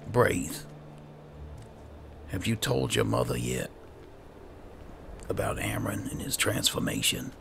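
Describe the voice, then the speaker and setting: A man's voice: lowish voice, big pitch swings, takes it slowly, creaky, pauses often, very noisy. A man casually commentating on a video game, roleplaying and musing aloud to himself. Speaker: gaming host doing roleplay playthrough.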